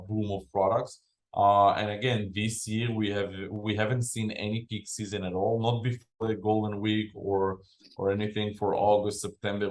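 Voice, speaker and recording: Man, lowish voice, logistics business guest, relaxed explainer, echoey room. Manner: unhurried, explains with frequent fillers and restarts. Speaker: freight forwarding company founder, podcast guest. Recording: roomy echo.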